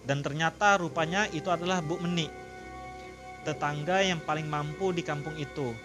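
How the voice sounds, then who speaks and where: A man narrating a horror story in a slow, savouring read-aloud, with his own commentary to viewers. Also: fairly high pitch, fairly even intonation. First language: not Javanese; Javanese not fluent. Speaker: storytelling content creator. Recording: music clearly behind the voice. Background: background music.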